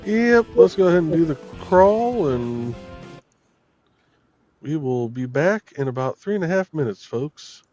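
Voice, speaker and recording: Relaxed man explaining stream tech. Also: fairly high pitch, measured pace, muffled recording, faint music behind. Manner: relaxed, explains technical issues. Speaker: co-host handling stream tech. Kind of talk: casual chat.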